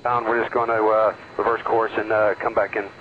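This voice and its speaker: A man, a helicopter pilot, making brisk, courteous calls to air traffic control over radio. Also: on the low side, flat delivery. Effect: radio transmission. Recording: very tinny, noisy cockpit aviation radio.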